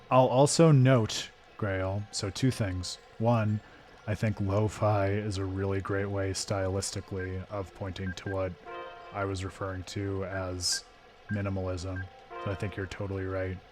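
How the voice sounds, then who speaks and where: A hoarse man hosting a live game stream, talking through his thoughts in a thoughtful, digressive way with frequent restarts. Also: sits low, level tone, measured pace, music in the background. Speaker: streamer and host.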